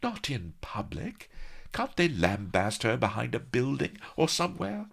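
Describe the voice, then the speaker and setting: A man voicing a dainty young noblewoman, high and tremulous. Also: very animated, a little slow, vocal fry, a bit bright, slightly rough, exaggerated voice. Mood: pleading. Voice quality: tremulous falsetto.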